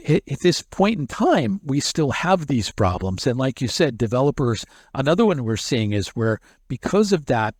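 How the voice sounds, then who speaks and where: A man in a middle range of pitch, talking through a technical subject as an interview guest. He keeps going in long stretches and stumbles over repeated words as he restarts. The sound is close and dry. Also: a little mellow, no echo. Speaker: SEO expert guest. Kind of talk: explanatory interview talk.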